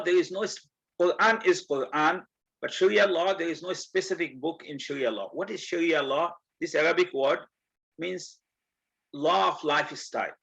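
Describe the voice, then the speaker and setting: A man from Bangladesh giving a community presentation, explaining step by step and earnestly, with many pauses. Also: fairly high pitch, gravelly, a bit bright, breathy edge. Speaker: guest speaker. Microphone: video call. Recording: muffled video call audio.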